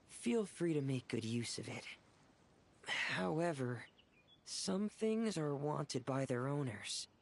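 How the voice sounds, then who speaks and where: A gentle, considerate guide in a video game. The voice is slow and polite, choosing words with care, over a faint background noise. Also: medium pitch, creaky. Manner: polite, measured. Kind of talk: video game character dialogue.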